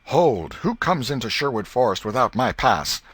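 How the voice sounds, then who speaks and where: A man's voice: medium pitch, big pitch swings, normal speed, a bit bright, slightly rough, no echo, voice pushed up. A man voicing an imaginative, bossy schoolboy in a storybook, declaiming like an outlaw hero in rustic boyish dialect. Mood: bold, mock-heroic.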